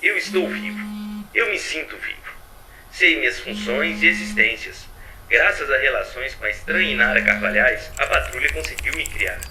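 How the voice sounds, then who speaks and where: A man's voice: mid-range voice, expressive, moderate pace, recorded from a distance, background noise, close to an everyday voice. A man playing an earnest, self-doubting artificial intelligence, giving formal reports in an audio drama. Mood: earnest wonder.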